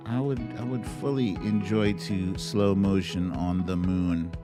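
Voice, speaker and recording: A man's voice: low voice, steady tone, measured pace, music in the background. A man hosting a casual livestream, chatting and reacting to clips in a playful, theatrical way. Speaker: host of a live online show.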